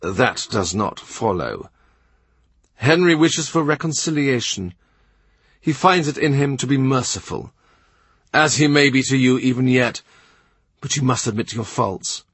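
A man's voice: mid-range voice, lively intonation, unhurried, pauses often, fairly bright tone, husky, no room sound, voice pushed up, exaggerated voice. A man voicing a shrewd middle-aged royal minister who talks in a dry, cutting, sardonic way. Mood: cool, sardonic. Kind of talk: audiobook character reading.

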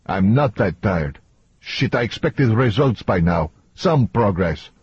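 A man acting an exhausted, short-tempered mission leader, husky. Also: fairly low pitch, lively intonation, takes it slowly, a little mellow, no room sound, lo-fi sound, heavily acted. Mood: agitated, insistent. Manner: demanding, impatient, swearing. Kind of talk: audiobook character dialogue.

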